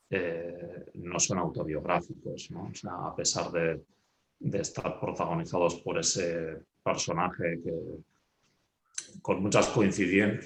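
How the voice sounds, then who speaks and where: A man's voice: deep voice, reverberant. A man from Spain, an author being interviewed, answering thoughtfully with many fillers, restarts and questioning tags. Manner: hesitant, many fillers and restarts, ends phrases with a questioning tag. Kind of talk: reflective conversational answer.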